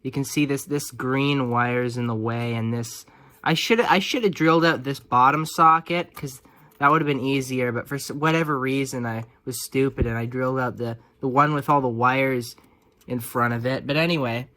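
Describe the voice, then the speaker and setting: A man's voice: medium pitch, steady tone, moderate pace, pushed and bright. A man talking casually and off the cuff, in an offhand, self-deprecating way, as he narrates a hands-on repair. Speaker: hobbyist radio repairer presenting own video.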